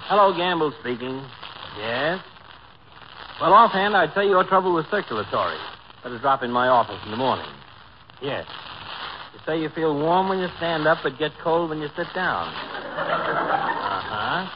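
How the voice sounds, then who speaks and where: A man's voice: fairly high pitch, lively intonation, moderate pace, pauses often, natural voice. Man playing a swooping, sardonic radio doctor. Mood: courteous. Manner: florid sarcastic insults. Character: sardonic, blustery doctor, the husband's sparring partner. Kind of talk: old-time radio sitcom acting. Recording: muffled, noisy old radio recording.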